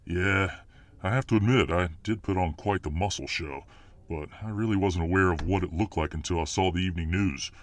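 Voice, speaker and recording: A man's voice: low-pitched, fairly quick, close to an everyday voice. A man playing a huge, confident but modest alpha fireman hero in a casual, self-assured ASMR roleplay. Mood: modest pride. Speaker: voice actor in audio roleplay.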